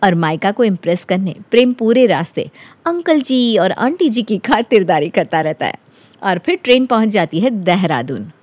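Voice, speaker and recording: A woman's voice: mid-range voice, very animated, normal speed, darkish tone, dry recording. A woman narrating a scripted story as a storyteller.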